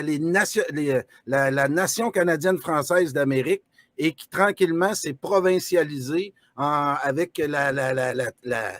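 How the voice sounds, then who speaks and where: Man, Quebec French accent, show host, slightly high voice, stumbling conversational questions, dry video-call sound. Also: no room sound. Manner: conversational, stumbling repetitions while asking questions. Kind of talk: live online interview.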